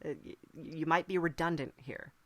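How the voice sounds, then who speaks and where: Comedy podcast host from Hell, female performer: slightly low, halting, wry deadpan storyteller, dry close sound. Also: no echo, lightly acted. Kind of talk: improvised in-character comedy storytelling.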